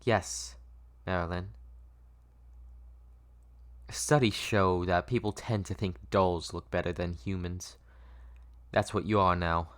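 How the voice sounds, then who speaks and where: Man playing a slow, controlling old doctor. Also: deep voice, fairly even intonation, no echo. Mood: soothing, possessive. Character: controlling, obsessive old male doctor, falsely soothing.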